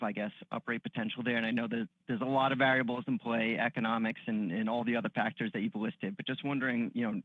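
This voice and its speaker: A man's voice: mid-range voice, no room sound. A man asking polite, tentatively phrased questions as a financial analyst on an earnings call. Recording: dry, dull phone-line audio.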